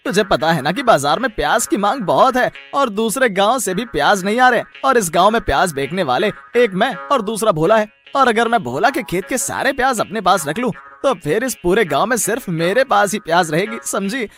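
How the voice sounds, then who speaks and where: A man's voice: high-pitched, very animated, brisk, few pauses, fairly bright tone, soft background music. A man playing a greedy, irritable, boastful onion seller in a cartoon story, speaking emphatically and repeating himself. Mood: scheming. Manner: exaggerated, emphatic, repeats words. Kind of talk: animated moral story acting.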